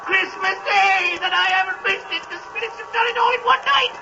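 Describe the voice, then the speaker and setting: An actor playing an old miser, with a creaky, gravelly voice and exclamatory delivery in 1930s radio drama acting. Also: male voice, extremely high pitch, fairly quick, over music. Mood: giddy joy. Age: older. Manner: exclamatory, swings from dread to giddy joy. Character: miserly old man Scrooge, transformed. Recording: dull 1930s radio broadcast with noise.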